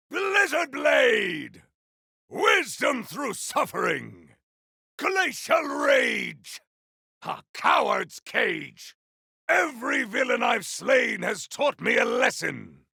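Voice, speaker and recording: Game hero: grandiose, vengeful elemental warrior, very gravelly and forceful, theatrical archaic combat lines. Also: male voice, extremely high pitch, very animated, drawn-out words, no room sound, studio quality, exaggerated voice. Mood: fierce, bombastic. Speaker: voice actor for a video game character. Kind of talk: video game combat barks.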